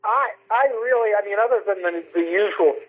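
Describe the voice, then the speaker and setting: A man's voice: high voice, fairly quick, a little hiss or hum. A man giving emphatic political commentary over the telephone on a radio show, repeating phrases for stress. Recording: tinny, narrow telephone line.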